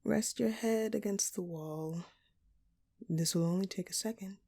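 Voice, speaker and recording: A woman playing a dominant, hungry female vampire in an audio roleplay, taunting and menacing her prey in a condescending, breathy voice. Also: medium pitch, dark tone. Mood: scornful, irritated. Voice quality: breathy, often dropping toward a whisper. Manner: slow, teasing, condescending, menacing. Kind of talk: audio roleplay acting, addressed to the listener.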